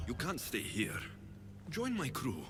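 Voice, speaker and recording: An actor playing a bold, wry mercenary warrior hero in a video game. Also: male voice, medium pitch, wildly swooping pitch, takes it slowly, background noise. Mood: steady, direct. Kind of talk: video game character acting.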